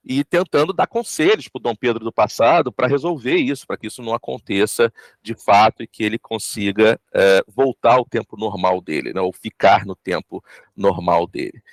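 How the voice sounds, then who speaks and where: A man's voice: fairly low pitch, no echo. A man explaining a project informally in an interview, restating phrases as he goes.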